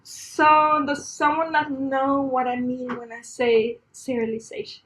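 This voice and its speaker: A woman's voice: high voice, level tone, a little slow, a bit bright, poor audio. A woman in her thirties from Sweden with a Swedish accent, giving a chatty, self-deprecating conference talk with frequent laughs. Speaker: conference speaker. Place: conference room on a stage.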